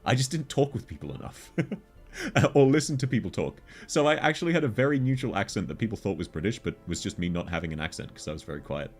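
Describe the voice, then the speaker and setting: A man's voice: lowish voice, animated, fast, breathy edge, soft background music. A man with an Australian accent, chatting casually with viewers on a game livestream, laughing often as he answers chat. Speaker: game streamer.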